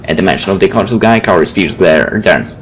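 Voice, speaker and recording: A man's voice: low-pitched, fast, a bit bright, slight background noise. A man hosting a game video, reading story narration aloud and adding casual, wry asides. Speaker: gaming video host. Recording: faint game music behind the voice.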